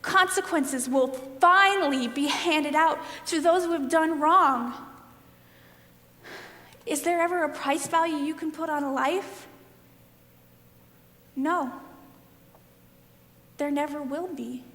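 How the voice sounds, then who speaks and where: Woman acting as impassioned stage-play juror, female, wounded and defiant, deliberate slow delivery, long hall reverb. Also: high-pitched, fairly bright tone. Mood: resolute. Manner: emphatic, deliberate, pleading. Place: live stage performance in a hall.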